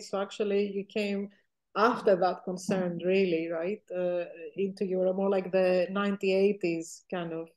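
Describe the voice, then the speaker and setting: A woman's voice: mid-range voice, mellow, rough recording. A woman interviewer asking informal questions on a video call from a room at home.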